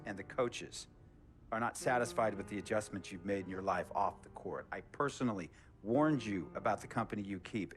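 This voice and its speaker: A man's voice: fairly low pitch, lightly acted. Actor as male team owner, stern, blunt, insistent, authoritative, game drama, background music. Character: stern, fed-up male basketball team owner laying down ultimatums. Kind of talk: naturalistic dramatic game cutscene acting. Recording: music behind the voice.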